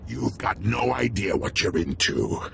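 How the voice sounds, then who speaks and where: Gravelly, deep alien villain. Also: male voice, big pitch swings, a bit bright, a little hiss or hum, full character voice. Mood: proud menace. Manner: slow, taunting, boastful. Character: proud, menacing alien male ex-Republic soldier, taunting villain. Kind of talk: video game villain acting.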